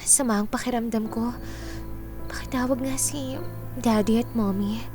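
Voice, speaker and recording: A woman's voice: on the high side, steady tone, moderate pace, music in the background, close to an everyday voice. An actress playing a feverish, pitiful young woman who sounds weak and sick in a Filipino radio drama. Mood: weak, ailing.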